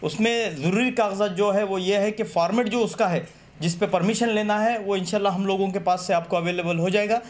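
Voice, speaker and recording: A man's voice: high voice, a little fast. A man giving a methodical, formal statement to the press. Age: 30s. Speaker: political party representative. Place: small office room, seated at a table. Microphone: press microphones on the table. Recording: clearly noisy room recording.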